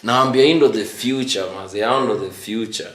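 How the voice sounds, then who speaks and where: A Kenyan man in his forties, a musician guest telling stories in a relaxed way, switching between English and Swahili slang. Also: fairly low pitch, normal speed, crowd noise. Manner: relaxed storytelling, code-switching. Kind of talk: casual interview in Sheng and English. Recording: roomy echo.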